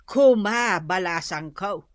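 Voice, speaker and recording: A woman's voice: medium pitch, lively intonation, slow, no room sound, clean studio sound. A woman with an Ilocano accent playing a patient, motherly adviser in a radio drama. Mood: patient, resigned. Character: patient, motherly woman giving moral advice to daughter.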